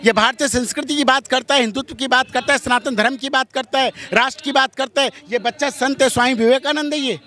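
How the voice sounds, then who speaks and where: A man's voice: extremely high pitch, steady tone, fast, hardly any pauses, bright, forceful tone. A man speaking as a religious figure in an interview, strident and combative, firing off rhetorical questions in an impassioned way. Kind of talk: impassioned street interview answers.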